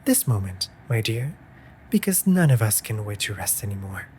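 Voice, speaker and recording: A man playing a caring, anxious raven familiar in a comforting audio roleplay, articulate and gently scolding in formal phrases. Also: lowish voice, big pitch swings, normal speed, a little hiss or hum. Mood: firm, teasing.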